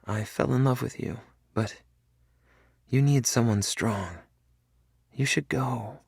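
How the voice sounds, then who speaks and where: A man's voice: mid-range voice, slow pace, gravelly, darkish tone, slightly rough, dry recording, studio quality, voice pushed up, heavily acted. A man voicing a frail, sick young woman who is tearful and pleading.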